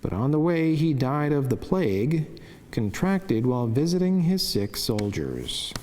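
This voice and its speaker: Man, mid-pitched voice, heavy lingering reverb, formal devotional reading, solemn, measured delivery. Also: very animated, darkish tone. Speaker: religious narrator reading a saint's life and devotional meditation. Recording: long cavernous reverb.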